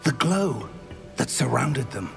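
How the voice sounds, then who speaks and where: An actor playing a learned older wizard mentor in a fantasy video game, measured, precise and grave in his delivery. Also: medium pitch, sing-song, creaky, soft background music, full character voice. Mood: hushed wonder.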